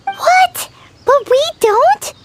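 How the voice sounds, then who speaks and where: A tiny, perky fairy sidekick for a video game. The voice is extremely high and swoops around with blunt, cute childlike chatter, over clear background noise. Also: female voice, expressive, extremely slow, exaggerated voice. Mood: baffled.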